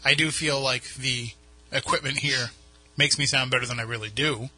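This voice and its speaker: A man's voice: mid-range voice, steady tone, moderate pace, pushed and bright, husky, no echo. Chatty New England radio host man. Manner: chatty, rambling with restarts. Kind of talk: casual on-air banter. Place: radio studio. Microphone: studio microphone with headphones.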